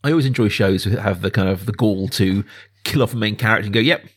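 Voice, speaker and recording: A man's voice: on the low side, fast, breathy edge. Man hosting a casual film podcast. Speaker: podcast host.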